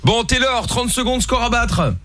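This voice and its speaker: A man's voice: extremely high pitch, a bit bright, slight background noise. A man hosting an evening radio show, joking with his co-hosts and guiding a caller through a prank-call game. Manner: joking, brisk, runs the game. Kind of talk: casual radio banter.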